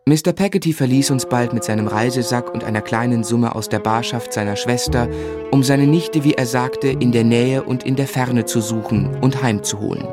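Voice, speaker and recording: An actor playing a melancholy gentleman who narrates his own past in a radio drama, measured, literary and reflective. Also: mid-range voice, lightly acted. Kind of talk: scripted first-person narration in radio drama. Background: music.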